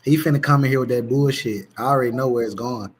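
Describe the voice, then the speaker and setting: Husky-voiced man bantering as a co-host. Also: medium pitch, fast talker. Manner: joking, slangy, laughs easily. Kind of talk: casual livestream banter.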